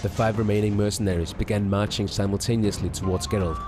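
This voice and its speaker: A man's voice: lowish voice, steady tone, fast. A man reading scripted fantasy story narration, with music behind him. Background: background music.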